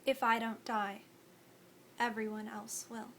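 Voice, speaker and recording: Lady doing a desperate teen girl, kinda shaky and begging, high pitched. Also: level tone, measured pace, a bit bright, slight background noise. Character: frightened teenage girl, desperate.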